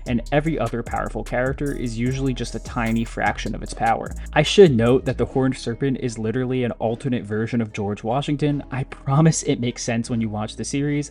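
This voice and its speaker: A man's voice: medium pitch, darkish tone. A man hosting an online video, narrating a scripted essay in a conversational, explanatory way. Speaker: YouTube host. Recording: music behind the voice. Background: background music.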